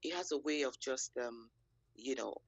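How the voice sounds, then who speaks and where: Over a dull, dry remote link, a woman speaks as an interview guest. Her voice is husky and creaky, at a medium pitch. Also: normal speed, no room sound. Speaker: expert guest, counselor. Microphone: remote call link.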